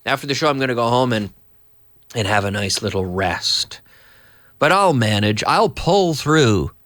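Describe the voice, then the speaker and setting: A man with a cold and a hoarse voice, teaching English live to viewers in a chatty, slow, explaining way. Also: mid-range voice, animated, a bit bright, no echo, studio quality. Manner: chatty, reads viewer messages aloud and explains slowly. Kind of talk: live online English lesson.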